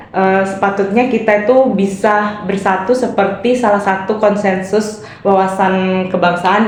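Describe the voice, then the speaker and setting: Young woman hosting in an echoey room. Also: medium pitch. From East Nusa Tenggara, Indonesia. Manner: friendly, many fillers and quick agreements. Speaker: podcast host. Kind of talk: casual podcast interview. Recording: very echoey room, faint noise.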